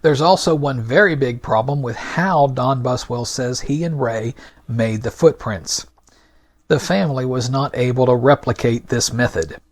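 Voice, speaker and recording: A man hosting a podcast with scripted narration, delivered in a deliberate, emphatic, argumentative manner. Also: medium pitch. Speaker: podcast host.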